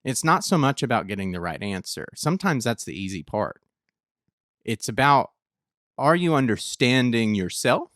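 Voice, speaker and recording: A man with a mid-pitched voice chats as a podcast co-host. He is reflective and emphatic, often repeating a phrase to drive it home. The recording is dry and close. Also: big pitch swings, moderate pace, a bit bright, dry recording. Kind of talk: casual discussion.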